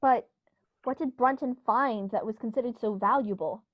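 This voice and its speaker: A woman's voice: fairly high pitch, moderate pace, dry recording, lo-fi sound, close to an everyday voice. A woman playing a chirpy English country squire. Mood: eager. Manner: chirpy upper-class exclamations. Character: genteel English country squire, man, eager and naive. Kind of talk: 1930s-style radio drama acting.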